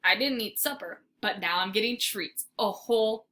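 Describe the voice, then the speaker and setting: A woman's voice: mid-range voice, moderate pace, bright, forceful tone. A woman reading aloud as a teacher would, narrating a children's story expressively with dramatic emphasis.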